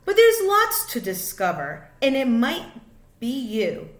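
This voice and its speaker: A woman reading a children's book aloud like a teacher, in a deliberate, expressive way, phrase by phrase for young listeners. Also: high-pitched, reverberant. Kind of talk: children's storybook read-aloud.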